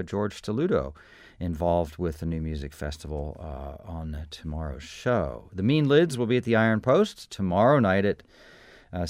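A man with a very low voice hosts a local radio show from a dry studio. He talks in an easygoing, chatty way and adds small asides while reading event listings. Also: dry recording. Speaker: radio host. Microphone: studio microphone.

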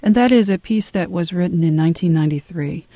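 A soft-spoken interviewer asking careful, polite questions. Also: mid-range voice, quick pace, dry recording.

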